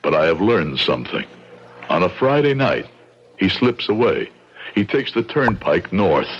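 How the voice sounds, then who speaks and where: Through the hiss of a dull old radio drama comes a grieving immigrant father. His voice is extremely deep, his phrasing formal and deliberate, with a bitter resolve for vengeance. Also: big pitch swings. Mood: grimly determined. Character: grieving immigrant father, shoe repairman, bitter and bent on revenge.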